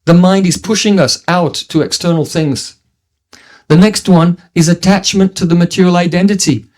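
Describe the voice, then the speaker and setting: A man's voice: high-pitched, moderate pace, a little mellow. Earnest man giving a devotional lecture. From New Zealand. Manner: earnest teaching tone, frequent restarts, chanted Sanskrit verses. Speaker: spiritual teacher.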